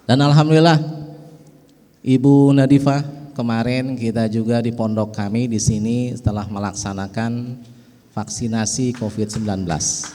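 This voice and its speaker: Middle-aged man, Betawi accent, folksy ceremony speech, mellow tone, hall echo. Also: lowish voice. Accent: Betawi and West Java Indonesian. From West Java, Indonesia. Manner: folksy, with frequent "ya" asides. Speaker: speaker at an organization event. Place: large hall.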